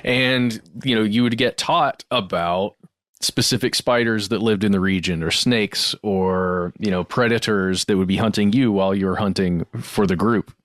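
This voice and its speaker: Male co-host, slightly low voice, casual discussion style, dry and close recording. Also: fairly even intonation, normal speed, fairly bright tone, no room sound. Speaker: podcast co-host.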